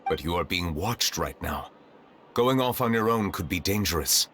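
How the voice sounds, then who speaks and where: A man playing a grave, courteous authority figure in a video game, with a formal, measured manner. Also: deep voice, animated, heavy background noise.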